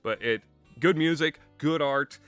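Bright-voiced man rambling about games. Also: a little high, a little slow, clean tone. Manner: conversational, opinionated, emphatic. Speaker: video game reviewer. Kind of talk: casual rambling commentary. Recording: music playing under the voice. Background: background music.

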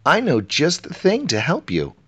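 A man's voice: on the high side, wildly swooping pitch, moderate pace, husky, lo-fi sound, voice pushed up, heavily acted. A man voicing a helpful, eager monkey who keeps the royal treasure in a children's storybook. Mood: helpful, correcting.

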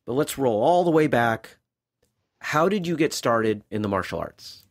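A man's voice: mid-range voice, expressive, measured pace, no room sound. A man hosting a martial arts interview podcast, chatty and friendly, often repeating words as he starts a sentence. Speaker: podcast host. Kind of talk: casual interview.

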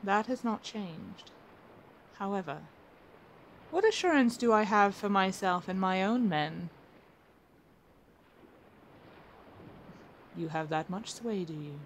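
Woman playing female pirate admiral, captive but assertive, theatrical, wry, formal phrasing, ASMR roleplay, medium pitch. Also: animated, measured pace, slight background noise. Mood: cool, bargaining.

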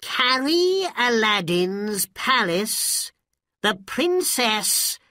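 A woman playing a commanding villainous male magician in a storybook, forceful and imperious. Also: a little high, sing-song, extremely slow, no echo, clean studio sound, voice pushed up, exaggerated voice. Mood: commanding, gloating.

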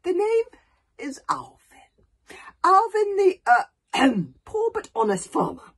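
A woman voicing a charming, flattering man with flowery courtesy in a children's storybook. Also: extremely high pitch, slow, voice pushed up, exaggerated voice. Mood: suave. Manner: flowery, fawning.

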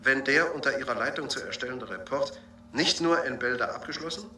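An actor playing a smooth, evasive senator chairing a secret commission in measured, pompous officialese in a radio drama. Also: male voice, medium pitch, pushed and bright. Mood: officious. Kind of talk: German science fiction radio drama acting. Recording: roomy, distant binaural recording over music.

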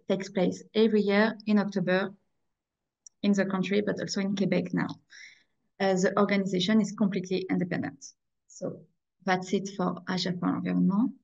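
Young woman, strong French accent, gravelly tone, careful webinar presenter on a lo-fi call. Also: medium pitch, darkish tone. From France. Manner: careful, slightly halting, apologetic. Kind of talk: presenting slides over a video call. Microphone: video call. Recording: dull lo-fi video call audio.

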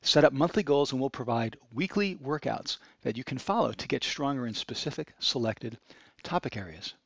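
A man's voice: medium pitch, expressive, normal speed, gravelly, dry recording. A man narrating a screencast walkthrough of a website, explaining each click step by step in an upbeat manner. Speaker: product demo presenter. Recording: dry, slightly dull sound.

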